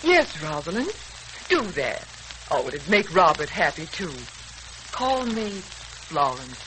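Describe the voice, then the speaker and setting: A woman's voice: low voice, big pitch swings, slow pace, pushed and bright, full character voice. An actress playing a gracious, tender mother-in-law in a 1940s radio serial, refined and sentimental, on a crackly old recording. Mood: earnest, tender.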